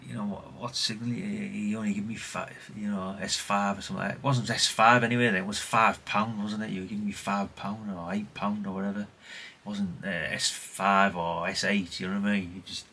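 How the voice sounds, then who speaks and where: A man's voice: lowish voice, level tone, a little fast, hardly any pauses, bright, forceful tone, slightly rough. A middle-aged British man talking casually in a rambling, chatty show-and-tell way, often correcting himself and adding tag questions. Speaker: hobbyist video host.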